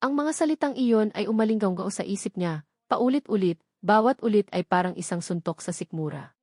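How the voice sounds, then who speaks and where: A woman with a Filipino accent narrating a scripted dramatic story as an audiobook, in a deliberate, emphatic way with short dramatic phrases. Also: medium pitch, lively intonation, a little mellow, no room sound, studio quality.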